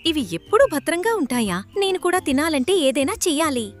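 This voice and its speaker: A woman's voice: sits high, very animated, fairly quick, faint music behind, pitched above the performer's own voice. A woman voicing a sly, hungry cartoon fox that coaxes and pleads with feigned sorrow in a children's moral story. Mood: scheming. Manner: pleading, coaxing.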